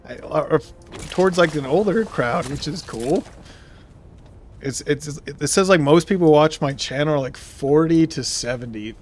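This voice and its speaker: Thirties male streamer, casual and meandering, slightly high voice, talks to his chat between plays. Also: normal speed, slight background noise. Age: 30s. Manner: rambling, chatty, reads and answers viewer chat. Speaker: game streamer. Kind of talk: casual live-stream chat while gaming.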